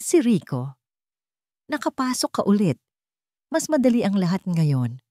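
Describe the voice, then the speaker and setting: A woman's voice: medium pitch, sing-song, measured pace, warm tone, no room sound, studio quality, pitched above the performer's own voice. A woman with a Filipino accent voicing a threatening, commanding male blackmailer in a story. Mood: menacing. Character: menacing male blackmailer on the phone.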